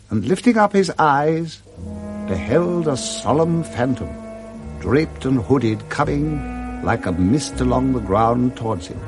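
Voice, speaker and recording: A man with a British accent narrating a 1960s radio drama in a measured, expressive storytelling way. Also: medium pitch, close to an everyday voice. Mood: grave. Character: male storyteller narrating a Victorian Christmas tale.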